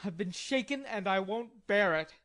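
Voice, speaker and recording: Woman doing a hot-headed young gentleman. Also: on the high side, moderate pace, voice pushed up, lightly acted. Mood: indignant. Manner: indignant, defiant.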